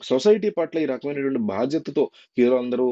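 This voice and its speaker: A man's voice: mid-range voice, animated, a little fast, mellow, highs cut off. A man giving informal, earnest and opinionated spoken news commentary for a video.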